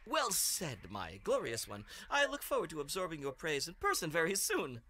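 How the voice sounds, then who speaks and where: A man's voice: a little high, wildly swooping pitch, moderate pace, a crowd in the background. A man playing a scheming alien underling in a comedic sci-fi audio drama, pompous, obsequious and grandiloquent. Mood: fawning report. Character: scheming flattering alien underling reporting to his ruler.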